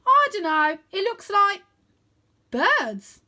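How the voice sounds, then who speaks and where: Excitable young lad for a storybook, played by a woman: high, loud, matey, daring, goading his best friend. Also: takes it slowly, heavily acted. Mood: puzzled. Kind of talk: children's storybook character voice.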